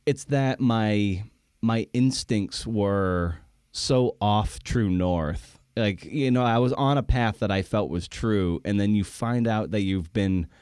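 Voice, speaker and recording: Male podcast host, on the low side, dry recording. Casual, candid, self-deprecating, loose and rambling. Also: takes it slowly, a little mellow, clear, resonant tone, no room sound. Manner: self-deprecating, rambling with repeated false starts.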